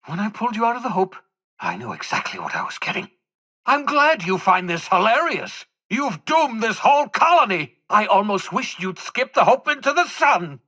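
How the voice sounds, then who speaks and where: Raspy, theatrical older scientist. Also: male voice, high voice, very animated, moderate pace, pushed and bright, exaggerated voice. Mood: exasperated, furious. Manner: theatrical, emphatic, articulate phrasing. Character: eccentric older fugitive scientist, obsessive, theatrical, bitterly self-reproaching. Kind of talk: dramatic video game dialogue.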